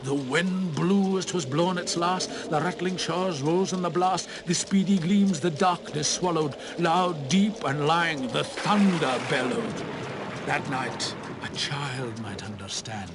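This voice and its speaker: A man giving a dramatic, theatrical recitation of a narrative poem, swinging between hushed and roaring delivery. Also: a little high, unhurried, background noise. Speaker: poetry reciter.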